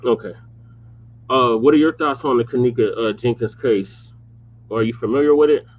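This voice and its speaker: A man hosting a casual live show who prompts guests with short, relaxed questions. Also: mid-range voice, moderate pace, narrow, tinny audio, slight background noise. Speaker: host.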